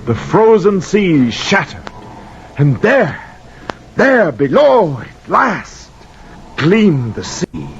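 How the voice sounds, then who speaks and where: A man's voice: on the high side, full character voice. An actor playing a guilt-ridden sailor telling his tale in solemn, archaic words in old-time radio drama style. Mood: awed, exultant. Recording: muffled, noisy vintage radio recording.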